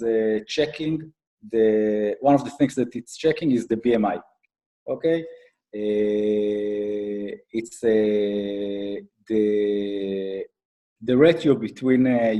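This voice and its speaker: A middle-aged man with an Israeli accent giving an explanatory business talk as a guest expert, haltingly, with frequent fillers. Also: fairly low pitch, dark tone. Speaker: guest speaker, IT advisory consultant and accountant.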